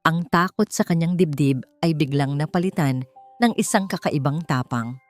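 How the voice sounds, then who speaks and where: A woman with a Filipino accent narrating a scripted dramatic story in an expressive, theatrical manner. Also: low voice, normal speed, faint music behind. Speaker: audiobook narrator.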